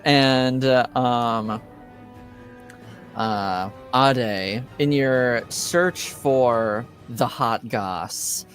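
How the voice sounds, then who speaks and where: A man improvising narration as game master, halting often and searching for his words. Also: medium pitch, a bit bright, soft background music.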